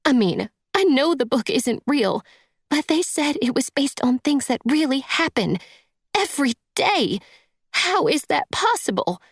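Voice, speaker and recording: Woman narrator performing a spoiled young wife, high voice, whiny, sniffly, tearful, vain, audiobook character, dry sound. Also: normal speed, vocal fry, no echo. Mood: tearful, distraught. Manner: whiny, sniffly, sobbing delivery.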